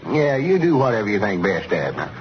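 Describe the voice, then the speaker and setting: A man's voice: lowish voice, sing-song, brisk. A man playing an earnest, considerate country storekeeper with a rural Southern drawl in a 1930s radio comedy. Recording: muffled, noisy 1930s radio recording.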